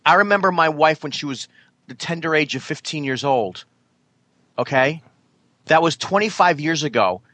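A man in his late thirties co-hosting a casual talk show, halting and repeating himself in self-mocking bits. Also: a little high, lively intonation, bright, forceful tone. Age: 30s. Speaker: podcast co-host. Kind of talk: casual banter.

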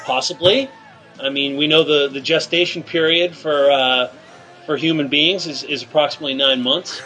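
A male radio show host leading deadpan teasing banter in a halting, filler-filled setup. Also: on the high side, faint music behind, low-quality recording.

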